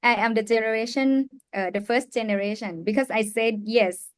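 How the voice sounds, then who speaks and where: A young woman from Thailand with a Thai accent, telling her personal story as a youth ambassador on a live panel, earnest and halting with many fillers. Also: on the high side, fairly even intonation, no echo. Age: young adult.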